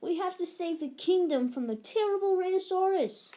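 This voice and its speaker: A woman reader plays an excitable little boy from a picture book. The voice sits high and bounces up and down with eager, playful exclamations, full of imagination. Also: normal speed, a little hiss or hum. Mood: excited.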